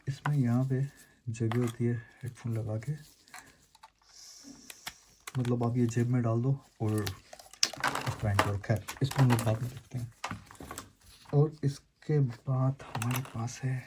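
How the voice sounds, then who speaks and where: A man's voice: mid-range voice, noisy background. A man hosting a casual, chatty gadget unboxing and explainer, informally mixing English words into his talk. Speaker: YouTube host unboxing and reviewing gadgets.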